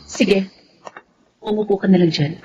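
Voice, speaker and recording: A woman's voice: mid-range voice, a little slow, warm tone, muffled recording, a little hiss or hum, close to an everyday voice. An actress playing a protective, affectionate single mother with a Filipino accent in a television drama. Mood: guarded, bitter.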